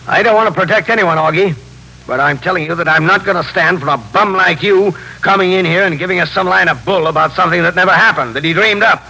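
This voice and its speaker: An American actor playing a stern, plain-spoken New York police captain in a 1950s radio drama. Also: male voice, sits high, fast, creaky, pushed and bright, slightly rough, exaggerated voice. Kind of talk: 1950s radio drama acting and first-person narration. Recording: noisy 1950s radio broadcast recording.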